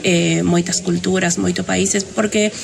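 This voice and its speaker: A woman's voice: fairly low pitch, level tone, measured pace, vocal fry, slight background noise. A woman from Argentina speaking as a language learner in a casual outdoor interview, hesitant with many fillers. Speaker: language learner, Camino guide. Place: outdoor terrace. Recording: outdoor terrace with crowd chatter.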